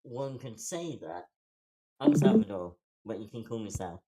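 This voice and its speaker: A man's voice: medium pitch, huge pitch swings, a little slow, background noise. A man playing a self-deprecating, anxious young man in a casual screenplay table read. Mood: casual, friendly.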